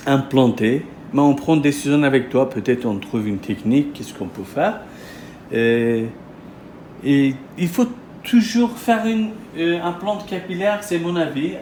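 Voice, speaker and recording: A man who is not a native speaker, explaining as a surgeon in a halting, repetitive consultation style. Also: fairly high pitch, a little hiss or hum. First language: not French. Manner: halting, repetitive phrasing in non-native French. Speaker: hair transplant surgeon presenting a patient. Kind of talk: explanatory consultation talk, interviewing. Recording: echoey room with loud guitar music. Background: guitar music.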